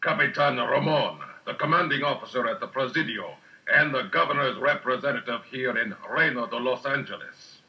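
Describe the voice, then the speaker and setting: A man's voice: mid-range voice, moderate pace, few pauses, natural voice. An actor playing a gossipy, earnest townsman of old California in a dramatised storybook. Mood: confiding. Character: townsmen of old California: innkeeper, retired pirate. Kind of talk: dramatised storybook recording acting. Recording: dull, band-limited storybook recording.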